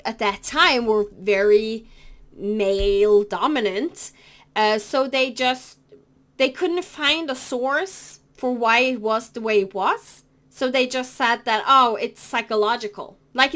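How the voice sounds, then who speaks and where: Slow-talking Norwegian woman vlogger. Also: on the high side, a bit bright. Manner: halting, many fillers and self-corrections. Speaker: cross-stitch vlogger. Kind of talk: casual explanatory talk to camera.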